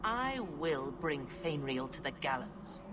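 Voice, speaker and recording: An actress playing a hard, contemptuous templar knight, a menacing zealot in a video game. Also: female voice, sits low, very animated, slow pace, heavy background noise, heavily acted. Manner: hard, threatening. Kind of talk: dramatic game dialogue. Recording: music behind the voice.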